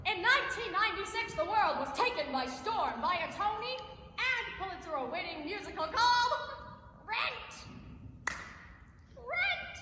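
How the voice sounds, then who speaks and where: Woman playing a very high-pitched, showy infomercial hostess. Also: bright, forceful tone, heavily acted. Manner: grandly announcing, salesy. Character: peppy, showy female infomercial pitch host. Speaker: infomercial host in a stage comedy. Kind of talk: theatrical sales pitch parody. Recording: echoey hall, faint noise.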